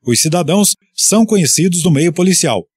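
A man from Brazil reads the police news bulletin on local radio. His voice is husky and a little high for a man, and his delivery stays steady and formal throughout. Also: a little fast.

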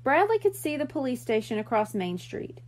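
A woman narrates a children's story in a high voice. Her pitch moves around a great deal, giving the scripted reading a lively, expressive storytelling feel. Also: moderate pace.

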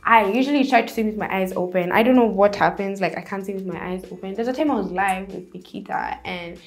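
A young woman from Kenya, a singer, answering interview questions in a relaxed, chatty way with lots of "like" and "um". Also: medium pitch, quick pace. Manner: relaxed, chatty, many fillers like "like" and "um". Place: indoors, seated before a stone-look backdrop.

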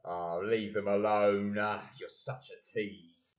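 A man's voice: on the low side, steady tone, slow pace. A man playing a jovial, teasing, grumbling husband in a community audio play. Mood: easygoing.

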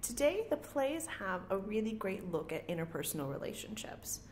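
A woman's voice: mid-range voice, sing-song, moderate pace, darkish tone. A woman co-hosting a podcast in an upbeat presenting style, recorded in an empty office. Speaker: podcast co-host. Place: very empty, cave-like office indoors. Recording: echoey empty office, faint ambient noise.

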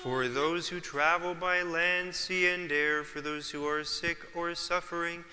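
A clergyman leading an Orthodox litany in a solemn, measured intoning manner. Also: a little high, steady tone, sharp tone. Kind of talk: chanted liturgical litany. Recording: church reverb, faint ambience.

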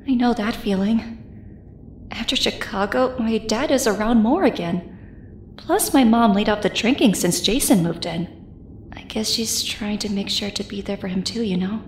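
A woman's voice: mid-range voice, a little slow, echoey room, slight background noise, lightly acted. An actress playing a troubled, reflective teenage girl hero in an audio drama, subdued and confiding.

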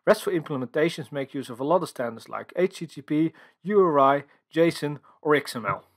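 Male presenter, Dutch accent, higher-pitched voice, explanatory delivery full of uhs, tech-talk narration over slides. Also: animated, fairly quick. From Netherlands. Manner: explanatory, filler-heavy with frequent uhs. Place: voice-over for screen recording and slides.

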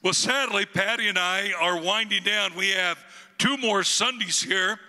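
An older man in his sixties from the United States, a guest pastor with a booming voice, preaching and praying slowly into a handheld microphone on a church stage. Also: bright, forceful tone. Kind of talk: sermon and prayer. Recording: long hall reverb, faint music behind.

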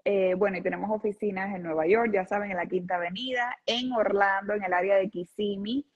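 A woman's voice: medium pitch, steady tone, fairly quick, slightly rough. A woman in her thirties, a lawyer, explaining cases in earnest on a video call with frequent restarts. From lived in Venezuela, not born there. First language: Spanish. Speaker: personal injury lawyer, guest. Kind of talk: explaining legal cases in an interview. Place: office with a city window behind. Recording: dull lo-fi video call audio.

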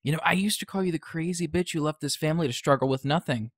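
A woman playing a sarcastic, foul-mouthed teenage boy in an audio drama, with a husky, deadpan delivery. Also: low voice, quick pace, no room sound, clean studio sound. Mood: dry, defensive. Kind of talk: podcast audio drama acting.

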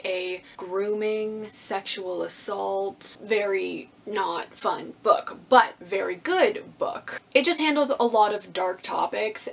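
A woman in her twenties chatting casually to camera as a book vlogger, with an animated, emphatic, slangy way of talking and a husky voice. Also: mid-range voice. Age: 20s. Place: small indoor room with bookshelves.

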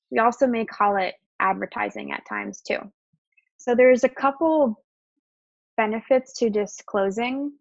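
A woman's voice: medium pitch, vocal fry, no room sound. Woman who stutters, dull webinar audio. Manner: stutters at times, open and conversational. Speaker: webinar co-presenter. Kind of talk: presenting slides in a webinar.

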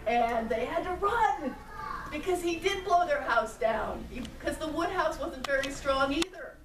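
Slow-paced woman storyteller in an echoey room. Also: high-pitched, animated. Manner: simple phrasing with questions to the children. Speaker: storyteller and puppeteer. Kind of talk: interactive storytelling for young children. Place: daycare classroom, indoors. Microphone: camera mic at some distance. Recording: echoey noisy room, distant camera mic.

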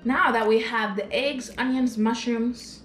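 A woman's voice: fairly high pitch, fairly even intonation, unhurried. Young woman chatting casually, roomy kitchen sound. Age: young adult. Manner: informal, rambling, frequent "like" and "you know". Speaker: home cooking vlogger. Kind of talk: casual chatty cooking vlog. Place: home kitchen, indoors. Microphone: camera across the table. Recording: roomy, distant camera mic, background music.

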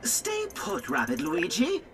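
A man voicing a chatty, fussy, excitable male robot companion in a video game, theatrical and wry. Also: sits high, slow pace, a crowd in the background, heavily acted. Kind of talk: animated video game acting. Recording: game audio with clear noise.

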